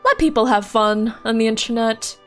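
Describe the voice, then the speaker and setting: A young woman chatting casually with her stream viewers while playing a game, laughing often and thinking out loud. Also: on the high side, normal speed. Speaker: livestreamer. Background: faint game music.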